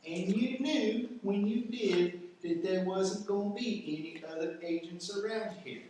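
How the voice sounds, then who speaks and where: A man's voice: high voice, a little mellow. A man playing a grumpy, folksy, long-suffering FBI agent in a comic radio play, blustering as he explains. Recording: echoey room, distant mic, faint noise.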